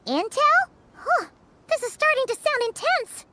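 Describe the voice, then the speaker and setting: A woman voicing a tiny, excitable childlike fairy companion in a video game, squeaky and exclamatory. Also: measured pace, background noise, full character voice. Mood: curious. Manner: refers to herself in third person, exclamatory.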